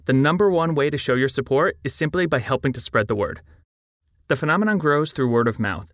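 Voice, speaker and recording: A man's voice: mid-range voice, a bit bright, dry recording, clean studio sound. A man reading a scripted promotional announcement as a podcast host.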